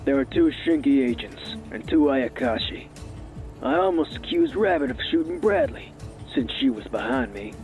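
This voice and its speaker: A man playing a matter-of-fact agent giving measured testimony through a playback filter in an anime parody audio drama. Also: mid-range voice, very animated, creaky, darkish tone, soft background music. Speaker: voice actor. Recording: thin phone-like filtered playback.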